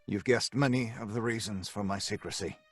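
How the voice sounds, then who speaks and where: An actor playing a tormented, secretive scientist in an old-time radio drama, speaking in a grave, deliberate, dramatic way. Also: male voice, lowish voice, husky, dry recording, faint music behind. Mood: grim confession. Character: tormented male scientist, guilt-ridden, secretive and defiant. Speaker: lead character and narrator.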